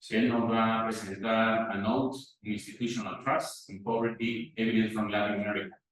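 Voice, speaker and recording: A man's voice: lowish voice, level tone, normal speed, fairly bright tone. Man moderating in a very echoey room. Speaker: session moderator, university professor. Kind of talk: informal spoken conference introduction. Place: echoey conference session room. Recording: very echoey room, distant microphone.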